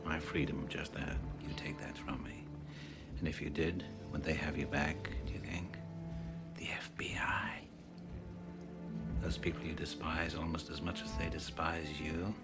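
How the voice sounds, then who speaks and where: An actor playing a cultured, coolly sinister killer in a film, speaking softly and precisely with mockery. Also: male voice, extremely low pitch, unhurried. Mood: quiet taunting. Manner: soft, precise, mocking. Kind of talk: intimate film monologue. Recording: film audio under loud music.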